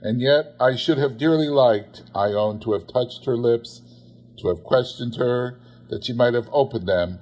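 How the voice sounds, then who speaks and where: A man narrating a literary story expressively, in a measured, theatrical manner. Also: mid-range voice, a little mellow. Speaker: audiobook narrator.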